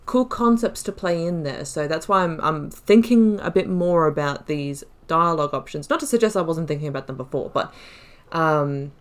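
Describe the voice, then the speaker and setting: A woman's voice: deep voice, animated, normal speed. A woman giving casual let's play commentary as a gaming YouTuber, chatty and musing as she thinks aloud.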